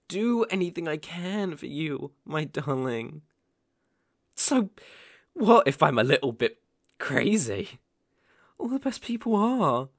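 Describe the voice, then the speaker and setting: A man playing a possessive, lovesick boyfriend in an ASMR roleplay, cooing with gentle condescension and quiet menace, often hushed and breathy. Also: on the high side, no echo, clean studio sound. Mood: fervent, obsessed. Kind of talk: ASMR roleplay, dramatic monologue.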